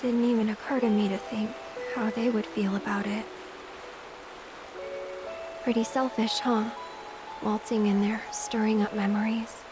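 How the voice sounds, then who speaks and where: A woman voicing a candid, wistful young woman in a video game, talking in a soft, conversational way. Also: fairly high pitch, little pitch movement, a little slow, pauses often, music in the background, natural voice, rough recording. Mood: regretful, wistful. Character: candid, warm-hearted young woman, wistful and gently teasing. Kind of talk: English-language video game voice acting.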